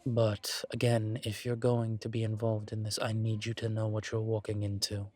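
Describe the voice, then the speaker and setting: A man playing a composed, wry incubus investigator in a scripted roleplay audio drama, speaking in a measured, deliberate, conversational way. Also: fairly low pitch, monotone, darkish tone, natural voice. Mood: serious, measured. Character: composed, wry male incubus demon investigator, politely self-assured.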